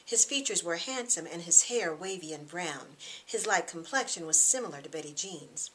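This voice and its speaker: Female audiobook narrator, slightly low, crisp forward tone, expressive storytelling delivery. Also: normal speed, slight background noise, low-quality recording. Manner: expressive, acts out dialogue. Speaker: author reading own novel.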